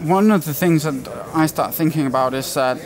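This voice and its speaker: Higher-pitched man hosting an interview. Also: fairly quick. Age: 30s. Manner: curious, thinking aloud. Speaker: interview host. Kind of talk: conversational interviewing. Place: glass-walled booth at a trade show hall. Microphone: headset.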